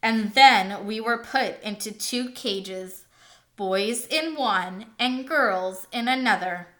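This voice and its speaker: A world-weary, scornful male hamster from a children's storybook, acted out by a woman. He grumbles through his tale at a slow, deliberate pace, in a bright, clear-cut tone. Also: on the high side. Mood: weary, indignant.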